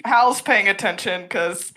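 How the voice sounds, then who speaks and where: A young woman with a slightly deep voice for a woman, chatting and giggling a lot as a player in a tabletop game. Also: unhurried, pushed and bright. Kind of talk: casual chat while playing a tabletop game.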